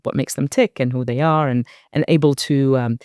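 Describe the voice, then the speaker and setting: A woman in her forties from Iraq, a company board director, talking reflectively as a guest in an interview, measured and thoughtful with soft fillers. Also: rumbling low, fairly even intonation, dry recording, clean studio sound.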